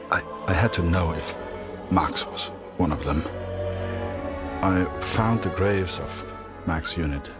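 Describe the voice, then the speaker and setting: An actor playing a haunted, grieving soldier narrating a letter home in a war game. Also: male voice, low-pitched. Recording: loud music behind the voice.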